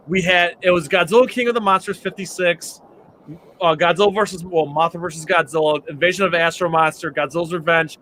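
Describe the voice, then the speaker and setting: A man hosting a casual podcast, chatting as an excited fan and rambling with frequent restarts. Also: high-pitched, a little hiss or hum, poor audio. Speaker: podcast host.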